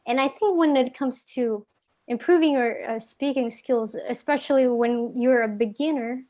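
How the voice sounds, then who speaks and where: A woman's voice: on the high side, moderate pace, mellow. A young woman from Indonesia with an Indonesian accent, giving casual tips in a live online English class, with many fillers and restarts. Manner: casual, filler-heavy, self-correcting. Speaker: guest English speaking tutor.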